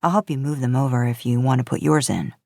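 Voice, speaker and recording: Woman reading as laid-back young college man, lowered pitch, understated, curious, audiobook character. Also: fairly even intonation, fast talker, creaky, mellow, no room sound, exaggerated voice. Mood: easygoing.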